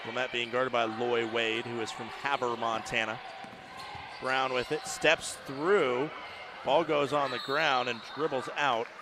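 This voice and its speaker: A male announcer calls a college basketball game live from the arena, chatty and ready with his opinions. His voice sits at an ordinary pitch and climbs when the play gets tight. Arena noise and the thump of dribbling fill the background. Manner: chatty, opinionated, rises to shouting at big plays. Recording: noisy arena broadcast feed. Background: gym ambience and ball bounces.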